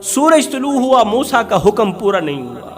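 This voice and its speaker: A man's voice: sits high, very animated, normal speed. A man preaching an emotional Islamic moral story, dramatically, with emphatic repetition.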